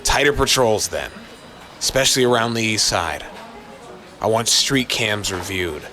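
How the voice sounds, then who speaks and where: A man's voice: on the low side, expressive, a little slow, sharp tone, pitched above the performer's own voice. Guy doing a stubborn streetwise detective, pushy and serious, noir radio play style. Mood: resigned, firm. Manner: urgent, streetwise, persistent. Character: determined, insistent male New York police detective. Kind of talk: noir audio drama dialogue.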